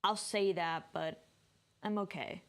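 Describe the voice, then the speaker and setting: A woman's voice: lowish voice, steady tone, takes it slowly. A woman chatting and thinking aloud as she gives casual live commentary on a game, sounding a bit tired. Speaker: game streamer. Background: game music.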